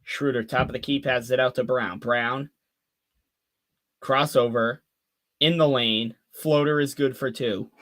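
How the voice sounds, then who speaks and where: A man of twenty giving fan play-by-play on a live stream, calling the action quickly and chatting with viewers between plays. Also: mid-range voice, fairly even intonation, fairly bright tone. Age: 20s. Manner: rapid call of the action mixed with chatty asides to viewers.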